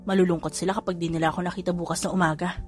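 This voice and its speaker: A woman voicing a flirtatious grown man and doting father, speaking in a lowered, playful voice. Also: flat delivery, racing, soft background music, natural voice. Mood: teasing.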